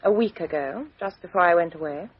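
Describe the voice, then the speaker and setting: A woman's voice: on the low side, expressive, fairly quick, breathy edge, dry recording. An actress playing a frank, self-possessed young British woman in a radio drama, answering candidly and with emphasis. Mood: composed. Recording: dull, lo-fi radio drama recording.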